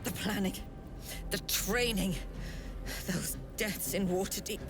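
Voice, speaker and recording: A woman playing a haughty, menacing middle-aged mother superior of a dark cult in a fantasy video game, speaking imperiously with a cold, taunting edge. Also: mid-range voice, over music. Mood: proud, bitter. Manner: imperious, deliberate, coldly taunting. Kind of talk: theatrical video game dialogue.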